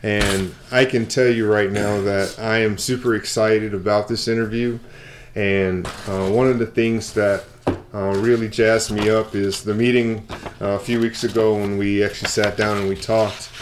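A man's voice: fairly low pitch, flat delivery, normal speed, noisy background. A man hosting an interview show in a conversational style.

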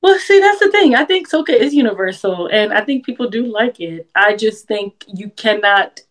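A woman, a guest songwriter chatting casually in an interview, laughing often and talking in quick bursts with restarts. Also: medium pitch, expressive.

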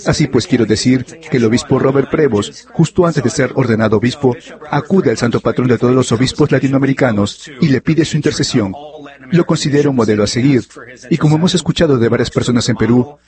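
A man giving a voice-over interpretation of an interview, relaying it in a steady, fluent way. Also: medium pitch.

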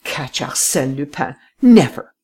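A woman reading an audiobook voices a wealthy, theatrical lady who gasps out breathless, high exclamations. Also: animated, unhurried, mellow, breathy edge, exaggerated voice. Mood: alarmed. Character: rich frightened lady, excitable and theatrical.

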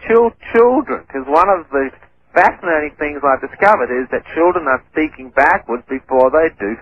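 A man who stutters, explaining his research as a radio interview guest in halting speech full of restarts. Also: a little high, lively intonation, slight background noise. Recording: muffled radio broadcast.

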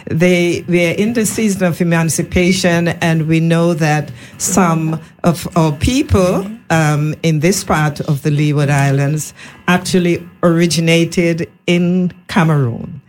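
Slow-paced Caribbean woman hosting radio. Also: low voice, fairly even intonation, darkish tone. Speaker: radio host. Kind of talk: live radio panel moderation. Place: radio studio. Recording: faint background noise.

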